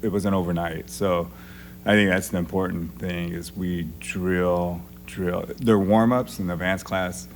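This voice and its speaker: A middle-aged man, a jiu-jitsu coach, speaking in a deep voice that does not carry, in a plain-spoken, relaxed way as a podcast guest. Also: a little slow. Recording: steady background hum.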